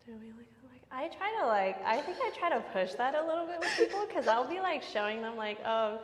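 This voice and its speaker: A woman's voice: high voice, sing-song, fast. A woman in her mid-twenties, a guest on the vlog, who chats casually in slangy speech and laughs often. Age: 20s. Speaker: guest sister. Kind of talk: casual conversation. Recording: echoey room with long reverb.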